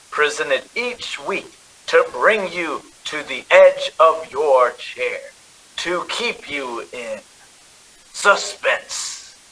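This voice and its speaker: A man playing a stately 1940s network announcer, proclaiming a suspense show with measured drama. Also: on the high side, bright, forceful tone, full character voice, rough recording. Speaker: radio announcer.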